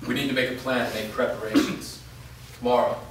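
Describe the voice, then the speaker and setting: A man's voice: medium pitch, little pitch movement, fairly quick, natural voice. A man playing a terse, grim fighting leader in a staged fantasy drama reading. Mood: grim resolve. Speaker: actor. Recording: echoey room, distant mic, clear noise.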